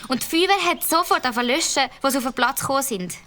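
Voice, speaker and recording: Young girl, Swiss German accent, high bright voice, bouncy, faint hiss. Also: expressive, very fast. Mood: eager. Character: chatty young girl, observant.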